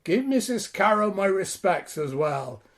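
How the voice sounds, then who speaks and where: Man acting a bossy rustic father, high-pitched. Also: big pitch swings, measured pace, heavily acted. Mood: businesslike. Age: middle-aged. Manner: plain-spoken rustic dialect, bossy. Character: gruff but kindly rural stone merchant, a father. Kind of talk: audio drama acting.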